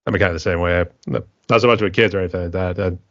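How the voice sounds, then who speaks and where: A man's voice: low voice, steady tone, quick pace, fairly bright tone, no echo, muffled recording. An American man hosting a podcast, asking loose, rambling questions in a casual chat. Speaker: podcast host.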